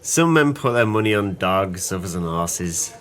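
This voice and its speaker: An actor playing a jovial, tipsy, hard-up former sailor in an audio play, talking in hearty, flowery, boastful phrases. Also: male voice, deep voice, animated, unhurried, a bit bright. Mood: cheerful, opinionated.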